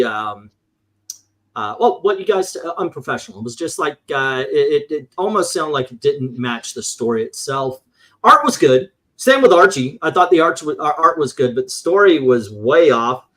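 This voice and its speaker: Rambling man with a higher-pitched voice. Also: a bit bright. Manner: rambling, swears, acts out his exasperated reactions. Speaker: comic book reviewer on a panel show. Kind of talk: casual opinionated review chat.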